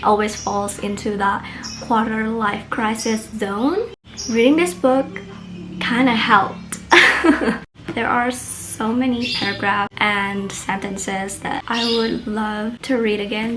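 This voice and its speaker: A woman's voice: on the high side, fairly even intonation, a little slow, over music, poor audio. A woman in her mid-twenties from Indonesia, a native Indonesian speaker, gushing about books in a chatty vlog review. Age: mid-20s. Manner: chatty and gushing, with little laughs. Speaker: book vlogger. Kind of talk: casual book review vlog.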